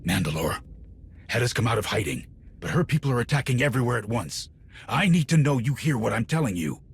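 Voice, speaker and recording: Field officer, game cutscene: gravelly, gruff, urgent, very animated pitch, ambience behind. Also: male voice, brisk, exaggerated voice. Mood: urgent report. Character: urgent, gruff male military field commander. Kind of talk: cinematic video game acting.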